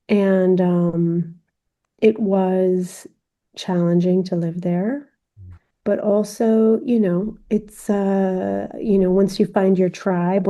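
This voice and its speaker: A woman in her forties, a film director guest, speaking reflectively with measured pauses in an interview. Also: mid-range voice, vocal fry, mellow. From France. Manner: measured, reflective, frequent ums. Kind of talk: interview conversation.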